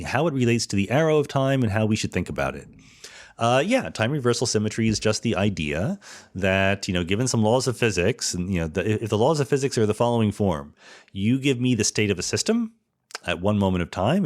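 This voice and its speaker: A man hosting a podcast, answering listener questions in an informal, explanatory way and correcting himself as he goes. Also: on the low side. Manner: informal, didactic, self-correcting. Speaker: podcast host.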